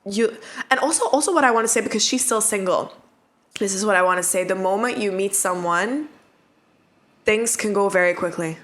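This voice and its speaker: A woman's voice: mid-range voice, brisk. Mid-twenties woman co-host, frank and chatty, tells stories with quoted thoughts. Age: mid-20s. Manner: candid, animated storytelling with quoted inner thoughts. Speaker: podcast co-host. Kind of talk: casual advice chat.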